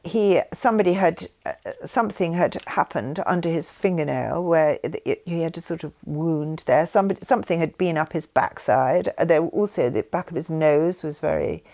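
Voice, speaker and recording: Animated woman with a creaky voice. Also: lowish voice. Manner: rambling, often breaking off and rephrasing mid-sentence. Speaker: speaker sharing personal beliefs. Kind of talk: informal explanatory talk with anecdotes.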